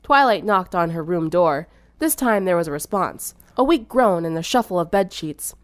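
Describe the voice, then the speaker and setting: A woman's voice: fairly low pitch, animated, normal speed, no room sound, studio quality. A young adult woman reading scripted story narration. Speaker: fan fiction narrator.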